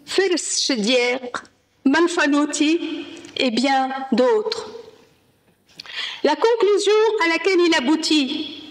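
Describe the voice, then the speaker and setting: Slow, measured woman reading a paper. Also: high voice, a bit bright, rough recording. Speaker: conference speaker. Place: conference hall.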